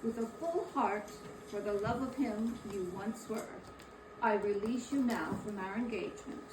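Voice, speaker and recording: A woman playing a sorrowful, dignified young fiancee in a dramatic reading, earnest, formal and gently reproachful. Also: medium pitch, a little slow, natural voice. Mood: sad, tender. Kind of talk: dramatic reading of a Victorian story. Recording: roomy, distant mic, noisy hall.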